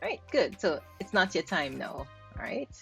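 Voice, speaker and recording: A woman's voice: fairly low pitch, very animated, moderate pace, vocal fry, soft background music. A Jamaican woman linguist explaining pronunciation. She repeats words aloud to show how they sound and laughs lightly. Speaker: linguist host. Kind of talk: explaining pronunciation while reacting to clips.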